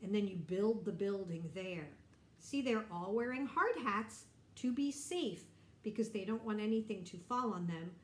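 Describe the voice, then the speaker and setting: A middle-aged woman reading a picture book aloud to children, explaining and asking simple questions in an animated way. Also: mid-range voice, moderate pace, echoey room. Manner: animated, explanatory, addressing young listeners. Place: bright indoor room.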